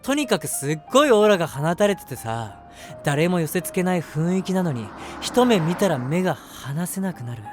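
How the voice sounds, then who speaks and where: Man acting a lively, flustered lovestruck young guy. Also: high-pitched, moderate pace, soft background music. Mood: smitten. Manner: flustered, animated, self-mocking, talking to himself. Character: lovestruck, self-deprecating, easily flustered young man, narrating his crush. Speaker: voice actor. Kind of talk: first-person situation voice drama, chatty narration with acted reactions.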